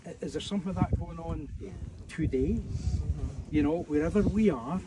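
Scottish preacher outdoors, a bit distant. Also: male voice, on the high side, moderate pace. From Scotland. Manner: warm, joking, conversational preaching with fillers. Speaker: evangelist. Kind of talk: outdoor sermon to a tour group. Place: outdoors at ancient ruins. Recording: distant mic outdoors, faint background noise.

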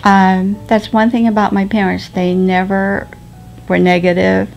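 A woman in her seventies whose first language is Japanese, recalling the past slowly and hesitantly, with many ums and restarts. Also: mid-range voice, little pitch movement, creaky, a little mellow, dull audio, soft background music. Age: 70s. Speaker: interviewee. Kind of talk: slow reflective recollection. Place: sunlit living room at home.

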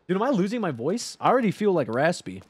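A young man in his twenties streaming a horror game from home on a headset mic, joking loudly and swearing as he talks to chat. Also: sits high, fast talker, slightly rough, dry recording. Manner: loud, swearing, joking, animated. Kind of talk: casual gameplay commentary with chat. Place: home gaming room, indoors.

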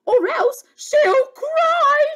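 A woman's voice: extremely high pitch, animated, very slow, a bit bright, muffled recording, voice pushed up, heavily acted. A woman voicing anxious, flustered royals in a storybook, urgent and exclaiming as they rush to obey. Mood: panicked.

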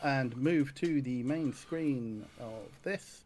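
A man's voice: medium pitch, takes it slowly, a loud crowd. Hesitant man hosting a game stream. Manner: hesitant, full of uhs. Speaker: stream host. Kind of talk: casual livestream hosting.

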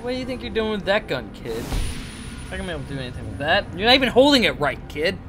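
Hoarse young man streaming a game. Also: sits high, very animated, fast talker, background noise. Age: young adult. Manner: rambling, laughs at himself. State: hoarse, sore throat. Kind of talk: casual gameplay commentary. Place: room at home. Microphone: headset. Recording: game music playing behind.

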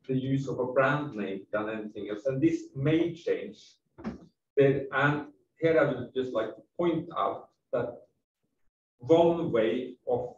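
A man's voice: medium pitch, highs cut off, slight background noise. Male panel expert, blunt and emphatic, distant mic in an echoey room. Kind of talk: unscripted analytical panel commentary.

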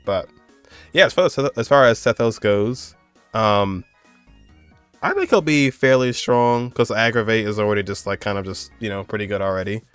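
A man's voice: on the low side, normal speed, a bit bright, smooth, dry recording, faint music behind. A young man giving casual, rambling reaction commentary as the host of a gaming video. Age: young adult. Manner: rambling, conversational. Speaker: host reacting to a game trailer.

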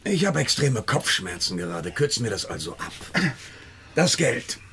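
An actor playing a menacing, pompous, world-weary debt collector who lectures deliberately in a German radio crime drama. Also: male voice, medium pitch, big pitch swings, pushed and bright, a little hiss or hum. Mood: coldly menacing.

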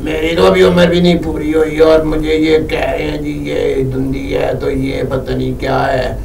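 A man's voice: on the high side, level tone, normal speed, a little mellow, faint music behind. A man voicing a talkative, whiny female cow in a comic puppet show, protesting and repeating herself. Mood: stubborn, aggrieved. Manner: repetitive, protesting. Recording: distant, echoey studio with clear noise.

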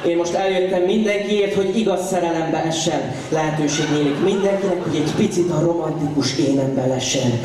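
A young man performs slam poetry on stage with a playful, impassioned delivery. His voice sits quite high for a man. It rings through a very echoey hall, with a clearly audible crowd around him. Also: distant mic. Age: young adult. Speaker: slam poet. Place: large indoor venue, on stage. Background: live crowd reacting.

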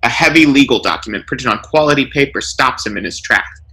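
Animated male story reader, bright voice. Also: medium pitch, a little fast, low-quality recording. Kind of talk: scripted comic short-story narration.

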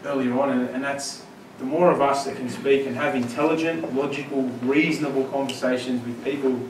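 A man's voice: mid-range voice, fairly even intonation, fairly quick. Man in his thirties, panel speaker, chatty, full of fillers, echoey room, distant camera, room noise. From Syria. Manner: conversational, filler-heavy, growing forceful. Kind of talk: panel answer at a conference. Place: large lecture room, standing before the panel. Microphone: handheld mic.

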